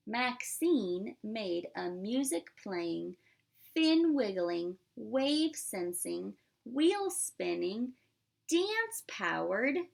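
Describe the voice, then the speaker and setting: A woman's voice: fairly high pitch, vocal fry. A woman reading a picture book aloud as a pre-K teacher, expressively, with simple asides to young children.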